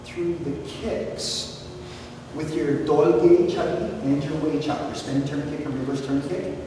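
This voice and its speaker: A man with a big voice that carries, working through a student's test point by point in a measured, encouraging way. He sounds distant from the mic, and a long, booming reverb from a large hall fills in behind his words. There is steady room noise underneath. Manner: big projecting voice, methodical and encouraging. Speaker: martial arts instructor. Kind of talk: spoken feedback at a belt test. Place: large echoing training hall. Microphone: distant camera mic. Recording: echoey hall recorded from far off.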